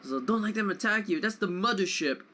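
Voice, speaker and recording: Gamer guy explaining his run, bright highish voice, bit of noise behind. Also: lively intonation, a little fast. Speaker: speedrunner commentating own play. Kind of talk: casual gameplay commentary. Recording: faint background noise.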